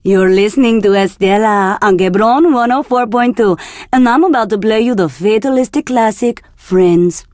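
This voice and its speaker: A woman's voice: medium pitch, moderate pace, darkish tone, dry recording, clean studio sound, natural voice. An actress playing a flirty, confident woman radio DJ in a video game, with a seductive, teasing delivery. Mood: flirty, upbeat. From Argentina. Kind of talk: scripted game radio DJ patter.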